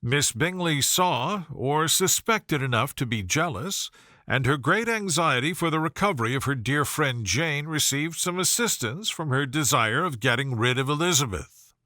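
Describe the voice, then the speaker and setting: A man narrating a classic novel as a scripted bedtime story, with measured, formal, expressive phrasing. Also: fairly high pitch, dry recording, clean studio sound. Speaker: audiobook narrator.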